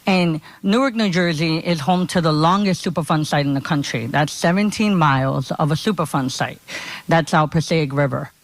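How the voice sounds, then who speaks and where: A woman's voice: deep voice, moderate pace, dry recording. A woman from Honduras giving a conversational talk at a conference as a community organizer, often checking in with her listeners.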